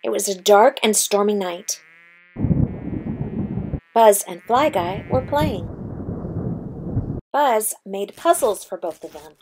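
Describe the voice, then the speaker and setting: A woman with a medium-pitched voice narrates a children's storybook at a slow, measured pace. There is faint noise and sound effects behind her. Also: expressive.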